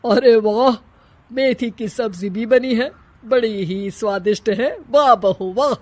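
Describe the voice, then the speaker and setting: A woman's voice: fairly high pitch, slow pace, a little mellow, dry recording. Woman voicing an admiring older father-in-law, exclamatory. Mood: delighted. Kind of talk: dramatized comic family story.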